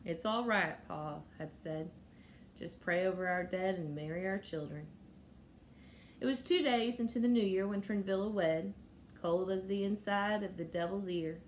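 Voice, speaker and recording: A woman's voice: mid-range voice, moderate pace. A woman in her thirties reading a novel aloud expressively, like a teacher telling a story. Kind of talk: expressive audiobook-style read-aloud of a novel. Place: small indoor room at home. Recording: noticeable room noise.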